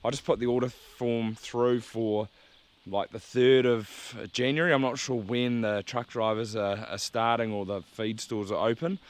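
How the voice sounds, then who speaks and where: A man, hoarse from a sore throat, chatting casually to camera while walking a farm paddock. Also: medium pitch. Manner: relaxed, rambling, thinking aloud. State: hoarse, losing his voice. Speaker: farmer vlogger.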